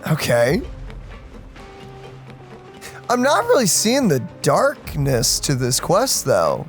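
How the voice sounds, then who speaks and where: A man's voice: on the high side, wildly swooping pitch, slow, soft background music. A man doing casual let's play commentary, informally speculating and reacting aloud to a game. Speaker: gaming let's player.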